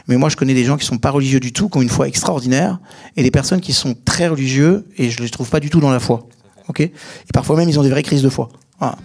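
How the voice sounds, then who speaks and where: A man talks on a panel in a small theater, holding a mic close. His voice sits a bit high for a man. He gestures and talks straight to the audience. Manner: animated, gesturing, addresses the audience directly. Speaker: speaker on a panel. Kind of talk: live talk before an audience. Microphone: handheld mic.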